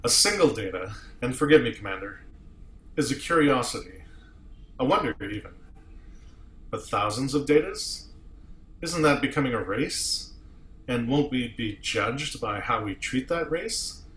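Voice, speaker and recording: A man playing an eloquent starship officer arguing as a defense advocate, with probing, deliberate rhetoric in a table read. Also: mid-range voice, expressive, fairly bright tone, roomy sound. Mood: probing, insistent. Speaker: amateur actor in a table read. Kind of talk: dramatic courtroom monologue.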